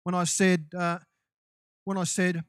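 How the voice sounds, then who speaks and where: A man's voice: high-pitched, level tone, a little slow, clean tone, no room sound, clean studio sound. A man preaching a sermon to his congregation in a loose, conversational way, with restarts and asides. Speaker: pastor.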